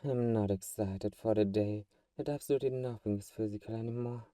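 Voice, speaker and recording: A man playing a doting but secretly manipulative vampire friend in an ASMR roleplay, soft-spoken and fussing, with a mellow, dark tone. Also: lowish voice, a little fast, no room sound, studio quality, distant mic, lightly acted. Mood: chatty, wry.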